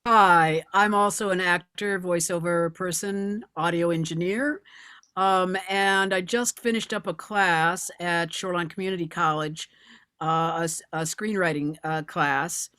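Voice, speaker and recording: A woman's voice: lowish voice, steady tone, a little slow, fairly bright tone, no echo, studio quality. A woman voice actor talking casually about herself and her work on a video call. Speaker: actor, voiceover artist and audio engineer. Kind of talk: casual self-introduction and chat.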